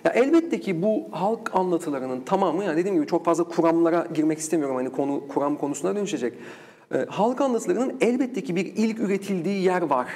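A man's voice: fairly high pitch, darkish tone. A man speaking as an interview guest and researcher, explaining in a discursive academic way with rhetorical questions.

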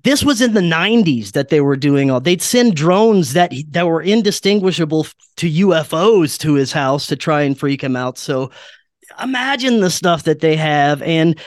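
A man with a slightly high voice speaks as a guest on a talk podcast. He tells his stories earnestly and informally. The sound is dry, with very little room in it. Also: animated, normal speed, no echo. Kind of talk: casual conspiracy and paranormal talk.

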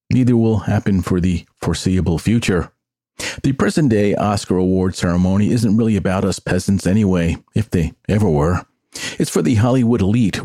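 An older man speaks in a deep, mellow voice, recorded dry with very little room sound. He hosts a solo podcast, sharing his opinions in a conversational monologue. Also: no echo. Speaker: podcast host.

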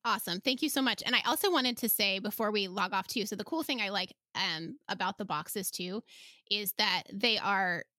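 Bright, chatty woman podcast host. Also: medium pitch, moderate pace, no echo, studio quality. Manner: chatty, enthusiastic, laughs often. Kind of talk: casual conversational interview.

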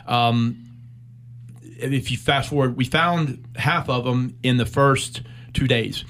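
A middle-aged American man, a military veteran, recounting a personal war story in an interview with measured, reflective pacing and frequent pauses. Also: medium pitch, fairly even intonation, a bit bright, a little hiss or hum. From United States.

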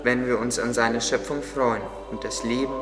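A man's voice: medium pitch, steady tone, normal speed, lightly acted. A man playing a kindly, devout gardener who explains things earnestly in an audio drama. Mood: earnest, reverent. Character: devout, kindly male gardener, patient teacher. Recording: echoey, long reverb, under music. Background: music.

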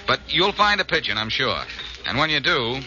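An American man playing a cocky, quick-witted amateur sleuth with breezy wisecracks in a 1940s radio drama. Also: medium pitch, very animated, sharp tone, faint music behind. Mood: confident. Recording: muffled old radio recording.

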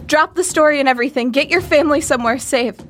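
A high-voiced, frantic woman played by an actress in an audio drama. She fires off urgent orders like a colleague warning of disaster. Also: fast talker, fairly bright tone. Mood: panicked urgency. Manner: urgent, imperative.

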